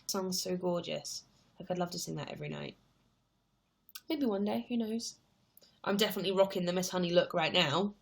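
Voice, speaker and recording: A woman's voice: lowish voice, normal speed, vocal fry. A woman in her twenties, chatting casually on a livestream, reading viewer questions aloud and answering at rambling length. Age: 20s. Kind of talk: casual live chat.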